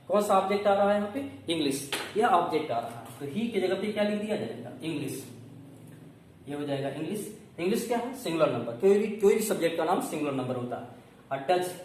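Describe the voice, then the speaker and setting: A man giving a classroom grammar lesson, explaining step by step and answering his own questions. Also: high-pitched. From India. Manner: instructive, asks questions and answers them himself. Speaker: English grammar coaching teacher. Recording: echoey room, distant mic, faint noise.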